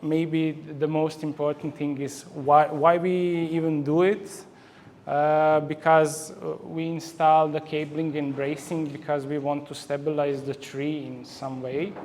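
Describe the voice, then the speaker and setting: A man with a Croatian accent giving a semi-formal conference presentation, haltingly and often repeating words. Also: on the high side, level tone, a little mellow. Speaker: conference speaker, forestry professional. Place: large echoey indoor hall. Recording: reverberant hall, faint background noise.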